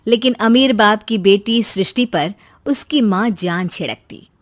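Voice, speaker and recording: A woman narrating a scripted story in an expressive, dramatic way. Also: medium pitch, a little slow.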